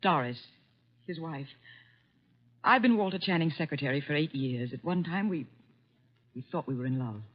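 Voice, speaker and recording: Muffled old radio recording, wounded, embittered woman secretary, intense, melodramatic, widely swinging pitch. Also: animated, normal speed, pauses often. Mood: anxious, distressed. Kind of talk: 1940s-50s radio drama acting. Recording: dull vintage radio recording.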